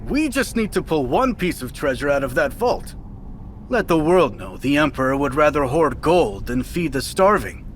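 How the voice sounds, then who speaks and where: An actor playing a thoughtful fortune-teller in a historical Chinese game drama, with a measured, deliberate delivery. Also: male voice, a little high, big pitch swings, slight background noise. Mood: scheming. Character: thoughtful fortune-teller man in a heist crew.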